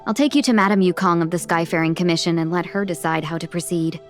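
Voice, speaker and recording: A woman's voice: fairly low pitch, steady tone, fast talker, music in the background, close to an everyday voice. A woman playing a courteous, playful young fox-tailed merchant in a video game, speaking with polite, coaxing formality. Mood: gracious, reassuring. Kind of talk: English video game voice acting.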